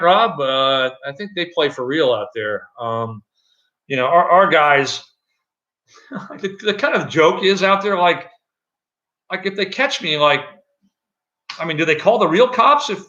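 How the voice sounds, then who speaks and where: A middle-aged man with a loud voice, chatting loosely on a live stream from an echoey room at home, with many fillers and restarts. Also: a little high, highs cut off. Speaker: channel host. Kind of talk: casual live-stream chat.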